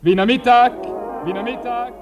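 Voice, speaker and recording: High-pitched newspaper seller crying headlines. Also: male voice, moderate pace, highs cut off. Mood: urgent, hawking. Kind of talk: radio drama, calling out headlines. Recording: loud music under the voice. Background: music.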